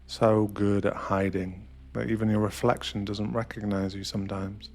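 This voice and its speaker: Male meditation guide, lowish pitch, hushed and deliberate dramatic delivery, intimate ASMR narration with faint music underneath. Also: flat delivery.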